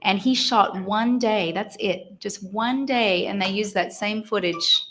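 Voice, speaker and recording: A woman's voice: mid-range voice, a little slow, a bit bright, slight background noise, low-quality recording. A woman with an Australian accent, chatting casually on a convention panel, rambling a little and laughing often. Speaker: actor guest at a fan convention panel.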